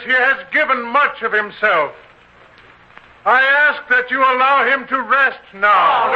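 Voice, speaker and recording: A pleading disciple, addresses a multitude in radio drama oratory. His voice is very high for a man, slow and deliberate, with dulled lo-fi sound. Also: bright, forceful tone, full character voice. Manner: deliberate calling out to a crowd.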